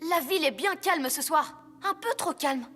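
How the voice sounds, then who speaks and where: A woman's voice: high-pitched, moderate pace, over loud music, close to an everyday voice. A woman voicing a sensible, determined six-year-old boy who leads a team of cartoon superheroes.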